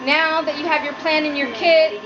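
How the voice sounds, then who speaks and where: A woman's voice: fairly even intonation, normal speed. A woman giving a humorous prepared speech as a student, with a nervous, giggly delivery. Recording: muffled low-quality audio, noticeable background noise.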